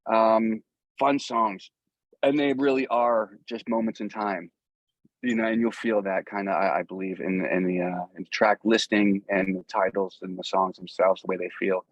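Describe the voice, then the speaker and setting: A man's voice: on the low side, steady tone, normal speed, dry recording, lo-fi sound. A man chatting casually as a musician being interviewed, in an earnest, rambling, slangy way.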